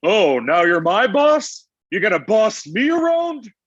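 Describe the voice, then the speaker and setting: Man playing a husky, high-voiced needy Santa. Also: very animated, measured pace, a bit bright, muffled recording, heavily acted. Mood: petulant. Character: Santa Claus as insecure, petulant, needy married man. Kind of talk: comedy table read.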